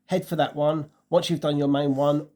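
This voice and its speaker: A man's voice: on the high side, level tone, normal speed, warm tone. A man co-hosting a casual travel review, offering tips and often repeating words as he feels his way. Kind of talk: casual chat, giving tips.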